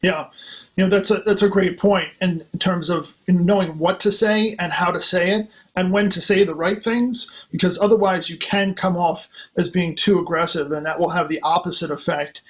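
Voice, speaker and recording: A polite, encouraging man hosting an interview. His voice sits a bit higher than most men's, and he asks his questions in an easy conversational way. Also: fairly even intonation, normal speed, low-quality recording. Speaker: host.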